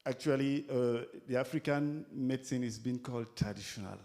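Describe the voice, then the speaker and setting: A man with a Cameroonian accent speaking off the cuff at a conference discussion, haltingly, repeating words as he searches for phrasing. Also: mid-range voice, darkish tone. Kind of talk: impromptu speech at a conference discussion.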